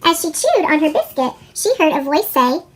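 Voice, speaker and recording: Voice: sits high, sing-song, normal speed, fairly bright tone. A storyteller with a sped-up chipmunk voice effect, reading a children's picture book expressively. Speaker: read-aloud storyteller.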